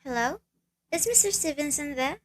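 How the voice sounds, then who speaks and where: A woman's voice: sits high, expressive, normal speed, slight background noise. A woman playing a nervous, sickly, demanding wife in a radio play, anxious and insistent as she complains. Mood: puzzled.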